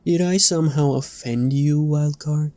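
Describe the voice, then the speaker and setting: A man voicing a cocky young swordsman in a dark fantasy audiobook, with a playful, taunting manner. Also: fairly high pitch, unhurried, no echo, pitched above the performer's own voice, lightly acted. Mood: playfully mocking. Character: cocky teasing young male fledgling swordsman.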